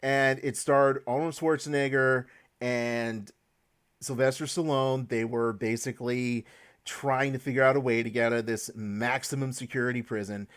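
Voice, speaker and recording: A man's voice: mid-range voice, fairly even intonation, moderate pace, clear, resonant tone. A man hosting a casual podcast chat, leading the talk with hedges and restarts. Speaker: podcast host.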